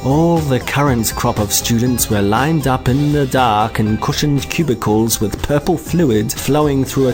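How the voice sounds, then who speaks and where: A man playing a wry bar-owner who narrates a comic science fiction tale in a deadpan storybook manner. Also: mid-range voice, lightly acted.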